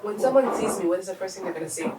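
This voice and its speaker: A woman's voice: medium pitch, very fast, reverberant, distant mic, a crowd in the background. A woman co-hosting a casual conversation, speaking emphatically and often jumping in with "hello" and "girl.".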